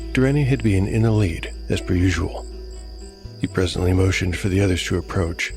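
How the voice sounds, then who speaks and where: A man giving measured, literary scripted narration as storyteller and game master of a fantasy audiodrama. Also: sits low, a little mellow.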